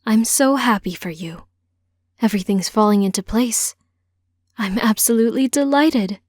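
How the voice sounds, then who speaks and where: A woman voicing a caring, gentle mother of a grown child in an audiobook story. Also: fairly high pitch, moderate pace, dry recording, clean studio sound, lightly acted. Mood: delighted.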